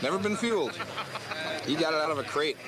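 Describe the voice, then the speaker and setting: A man's voice: fairly high pitch, expressive, a little fast, over a noisy crowd. Midwestern man narrating old camcorder footage. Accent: American Midwestern, pronounced. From American Midwest. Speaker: amateur camera operator giving a show tour. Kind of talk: casual running commentary on home video. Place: outdoors at a vehicle show. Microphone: camcorder microphone. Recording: old camcorder audio, loud vehicle noise.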